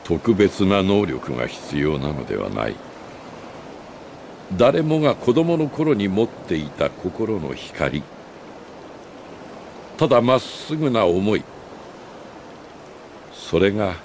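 A very deep, slow-speaking old sage from a video game. He is solemn and wise, with a gravelly edge and grave, measured phrasing. A steady ambient noise sits behind him. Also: exaggerated voice. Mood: solemn, earnest. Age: older. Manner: grave, measured, philosophical delivery with deliberate pauses. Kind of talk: Japanese video game cutscene acting.

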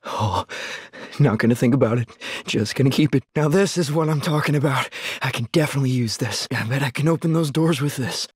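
A young man voiced by an actor struggles to survive in a horror video game. His voice is very rough and breathy, with urgent, tense delivery. He sounds frightened but set on getting out, in a dry, close recording. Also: medium pitch, fairly quick, no echo, lightly acted. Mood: uneasy, resolute. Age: young adult. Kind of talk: dramatic game voice lines.

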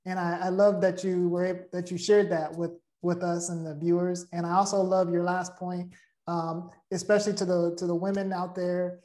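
Low-voiced woman hosting, roomy echo. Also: little pitch movement, moderate pace, dark tone. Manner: appreciative, affirming. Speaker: interview host. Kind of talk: casual conversational interviewing. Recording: roomy, echoey sound.

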